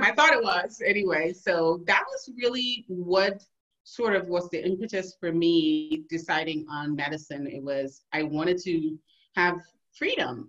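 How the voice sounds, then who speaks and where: A woman's voice: on the low side, muffled recording. A woman from Liberia telling stories casually and animatedly as a guest in an interview. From Liberia, West Africa. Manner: animated, laughs often while telling stories. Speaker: podcast guest, emergency physician.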